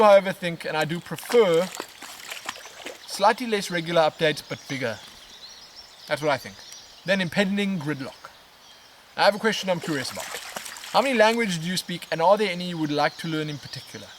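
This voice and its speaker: A man, casually answering viewer questions in a relaxed, expressive, rambling way as a vlog host. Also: fairly high pitch. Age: 20s. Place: outdoors on a riverbank in woodland. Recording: outdoor natural background noise, birds. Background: outdoor ambience with birds.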